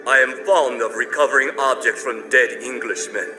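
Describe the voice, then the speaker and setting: A proud crime-boss collector, voiced by an actor, threatens in a cold, formal way, dripping contempt. His pitch sits in the middle. Game music plays under him in the cutscene. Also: steady tone, moderate pace, close to an everyday voice, low-quality recording. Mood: cold, scornful.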